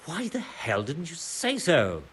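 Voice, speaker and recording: Crotchety medieval scribe, highish voice. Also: male voice, wildly swooping pitch, a little slow, fairly bright tone, husky, exaggerated voice. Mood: irritated. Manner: blunt, grumbling, exclamatory. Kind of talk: video game cutscene acting.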